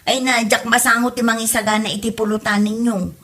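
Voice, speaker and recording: An exasperated Ilocano daughter played by a woman in a comedy drama. Her voice is slightly high, and she questions and scolds her elders in a Filipino accent. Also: level tone, fairly quick, fairly bright tone, close to an everyday voice. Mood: scolding. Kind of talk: comedy radio drama acting.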